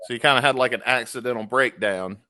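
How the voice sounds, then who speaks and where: A man with a Southern accent hosts a relaxed podcast chat, talking freely and laughing often. His voice sits at an ordinary middle pitch, recorded close and dry with no room around it. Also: no room sound. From Tupelo, Mississippi. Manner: chatty, laughs often, drops into quick impressions. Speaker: podcast host. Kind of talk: casual interview chat.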